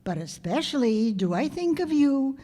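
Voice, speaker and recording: A woman's voice: sits high, sing-song, moderate pace, mellow. A woman playing a wry, wisecracking schoolteacher with dry, sardonic delivery in old-time radio comedy style. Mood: dry amusement. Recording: reverberant hall stage recording.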